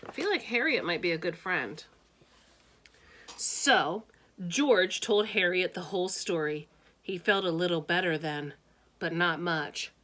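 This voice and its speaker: A woman reading a children's storybook aloud with expressive, deliberate phrasing and casual asides. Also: lowish voice, a little hiss or hum.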